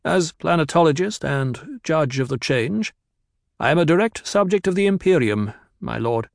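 A man's voice: lively intonation, normal speed, vocal fry, dry recording, clean studio sound. A man reading an audiobook, voicing a stiff, guarded male planetologist who speaks curtly and coldly. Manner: clipped and cold, raised pitch. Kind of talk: audiobook character voice.

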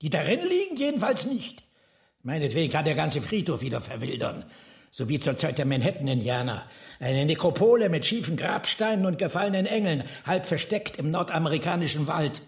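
Radio drama role: indignant eccentric man, emphatic and scornful, swooping pitch with a gravelly edge. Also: big pitch swings, a little fast. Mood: scornful ranting. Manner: ranting, emphatic, bitingly scornful. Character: cantankerous, indignant eccentric brother ranting at the world.

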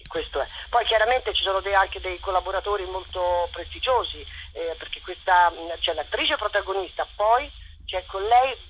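A woman giving spontaneous, halting interview answers as a theatre director over the phone. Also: mid-range voice. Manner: halting, searching for words. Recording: muffled phone line with faint noise.

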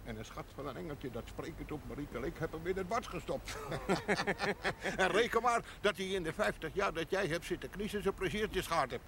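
A man's voice: a little high, moderate pace, crowd noise, lightly acted, rough recording. A man playing a jolly, blunt old village man, teasing and folksy, in a television drama. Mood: jovial teasing. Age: older. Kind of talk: television period drama acting.

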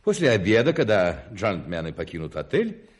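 A fussy, anxious hotel manager in a radio drama. His low, husky voice swings widely as he hesitates, repeats himself and defers obsequiously to his gentlemen. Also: huge pitch swings, normal speed, exaggerated voice. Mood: fussy, pleading. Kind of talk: radio play acting in Russian.

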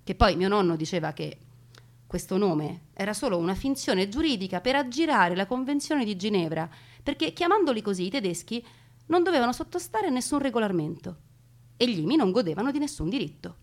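A woman narrating a family wartime story as a theatrical monologue, with dramatic pauses and deliberate emphasis. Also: medium pitch, sing-song. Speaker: stage narrator telling grandfather's wartime story.

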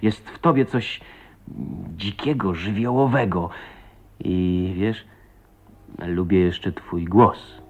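An actor playing an adoring, wry young husband with an expressive, tender delivery in a radio drama. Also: male voice, sits low, slow, long pauses, lo-fi sound. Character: adoring, teasing, philosophical husband living in the woods. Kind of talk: Polish radio drama acting. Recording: radio play with faint noise, ambience.

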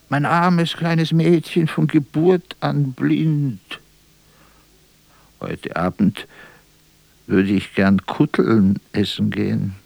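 An actor playing a faded old vaudeville performer in a radio play, vain and forgetful, talking theatrically and haltingly with a gravelly, husky voice. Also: male voice, lowish voice, darkish tone, dry recording, exaggerated voice. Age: older. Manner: theatrical, halting, breaking off and repeating himself. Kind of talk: radio drama monologue.

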